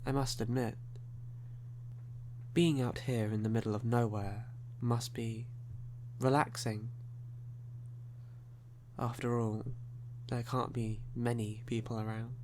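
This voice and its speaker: A man performs a sly, flirtatious male vampire in an ASMR audio roleplay. His mid-pitched speech is very unhurried, courtly and teasing, with formal phrasing. A noticeable hum sits under the recording. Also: little pitch movement, a little mellow, close to an everyday voice. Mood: sly, ominous.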